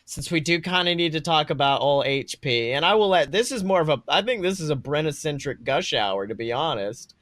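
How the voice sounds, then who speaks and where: A man's voice: a little high, lively intonation, brisk, hardly any pauses, a bit bright, dry recording, studio quality. A man in his twenties chatting casually as a podcast co-host, telling stories in an emphatic, irreverent, sweary way. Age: 20s. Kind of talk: casual chat, animated storytelling.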